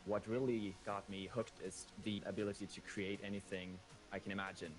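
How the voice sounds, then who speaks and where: A man's voice: fairly low pitch, flat delivery, a little fast. A man from Sweden, speaking eagerly in interview soundbites. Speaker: map-building team member. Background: music.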